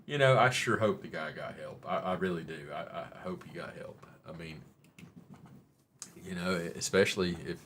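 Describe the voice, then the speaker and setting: A man's voice: deep voice, moderate pace, fairly bright tone, slight background noise. A middle-aged man, hosting a rambling, joking, mildly profane live-stream chat. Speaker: livestream host.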